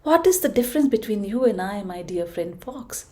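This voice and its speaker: A woman's voice: medium pitch, animated, normal speed, a little mellow, natural voice. A woman voicing a sweet, humble little tortoise in a storybook tale, with a plaintive, questioning voice.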